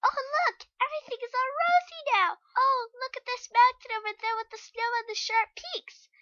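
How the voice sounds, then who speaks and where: Lady putting on a squeaky bright little girl voice, excited and kind, storybook reading, kinda lo-fi. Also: normal speed, dry recording, exaggerated voice. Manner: excited, exclamatory, tender.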